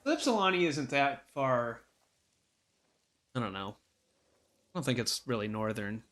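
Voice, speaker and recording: A man's voice: mid-range voice, big pitch swings, unhurried, fairly bright tone. A young man, chatting casually while playing a video game, hesitant and full of restarts. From Ohio, USA. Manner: rambling, hesitant, many fillers and restarts. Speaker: co-host. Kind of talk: casual gameplay chat.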